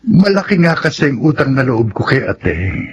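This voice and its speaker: A man's voice: mid-range voice, big pitch swings, moderate pace, highs cut off, slight background noise, close to an everyday voice. A man playing a stubborn, dismissive husband in a Tagalog radio drama, speaking insistently. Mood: defensive. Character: Aldo, stubborn dismissive husband indebted to his sister.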